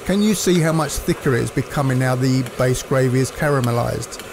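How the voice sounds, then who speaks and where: A man, narrating cooking instructions in a measured, explanatory way. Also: medium pitch, vocal fry, background noise. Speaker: cooking presenter.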